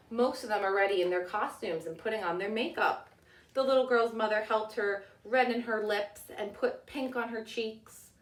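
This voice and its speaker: A woman's voice: medium pitch, recorded from a distance. Woman reading a storybook in an echoey room. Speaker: teacher reading aloud. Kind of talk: children's storybook narration. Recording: echoey room, away from the mic.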